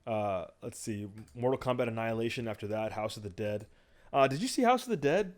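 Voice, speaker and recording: A man's voice: mid-range voice, expressive, brisk. A man co-hosting a casual podcast, riffing in loose banter. His voice sits in a middle register, and he often restarts his sentences or trails off mid-thought. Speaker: podcast co-host.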